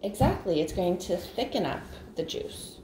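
A woman speaks as a mother baking with her children at home. She corrects them patiently but firmly. Her voice moves up and down a lot, and the big kitchen echoes around a steady background noise. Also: fairly low pitch, normal speed. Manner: patient, firm, gently correcting. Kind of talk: casual family chat while baking. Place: home kitchen, large open room. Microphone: camera on counter, off-screen.

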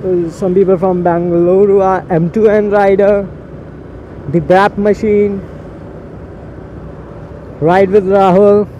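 A man's voice: high voice, little pitch movement, takes it slowly, long pauses, mellow. A young man, a motovlogger chatting casually and rambling while riding a motorcycle through city streets. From India. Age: young adult. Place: on a motorcycle on city roads outdoors. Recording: muffled helmet mic with traffic noise.

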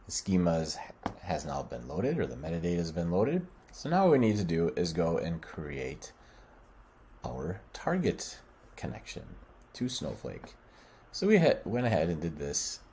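Low-pitched American man with lively intonation. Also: a little hiss or hum. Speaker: software tutorial presenter. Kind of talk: screen-share product walkthrough.

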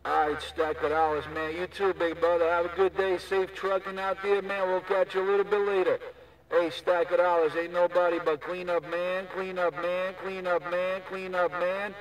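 A man's voice: sits high, monotone, moderate pace, far from the mic, rough recording. A man chatting casually in CB radio slang, repeating his catchphrases over and over. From Newark, New Jersey, USA. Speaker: CB radio operator.

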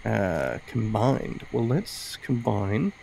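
A man's voice: on the low side, expressive, drawn-out words, creaky, dark tone, a little hiss or hum. A man giving casual gameplay commentary, musing and thinking aloud as he goes. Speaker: game streamer reviewing indie games.

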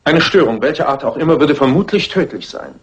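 A man's voice: a little high, lively intonation, brisk, muffled recording, natural voice. An actor playing a firm male doctor, formal and authoritative, in a dubbed crime film. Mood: firm, grave. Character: firm, brisk male doctor guarding his patient. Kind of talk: 1960s crime film dub.

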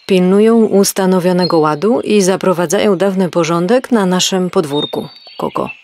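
A woman's voice: on the low side, moderate pace, a little mellow, a little hiss or hum, close to an everyday voice. A woman voicing a stubborn, self-important hen who clucks after her phrases in a children's radio play. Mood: officious. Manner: officious, clucking at phrase ends. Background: birdsong.

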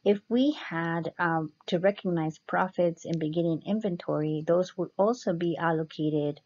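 A woman instructor explaining slides step by step in a measured lecture style. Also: fairly low pitch, darkish tone. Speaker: accounting instructor. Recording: dull, muffled lo-fi voice-over.

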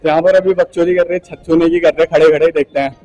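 Young man, relaxed soft-edged tone, slightly raised pitch, slangy gym vlog chat, music in background. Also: fast talker, lo-fi sound. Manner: slangy, joking, loosely rambling. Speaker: gym vlogger. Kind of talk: casual vlog chat, teasing banter with friends.

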